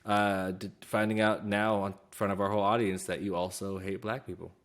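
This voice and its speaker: A man's voice: lowish voice, flat delivery, normal speed. A man co-hosting a podcast with casual, joking banter, slangy and profane, riffing and teasing. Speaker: podcast co-host.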